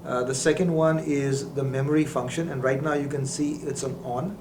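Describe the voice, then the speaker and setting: A man's voice: mid-range voice, steady tone, normal speed. A man giving a methodical, explanatory product demonstration, as a presenter. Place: indoor lab. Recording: roomy echo, faint background noise.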